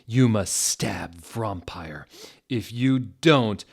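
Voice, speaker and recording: A man voicing a mean, sneering wizard professor villain with a drawn-out, menacing delivery. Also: fairly low pitch, vocal fry, heavily acted.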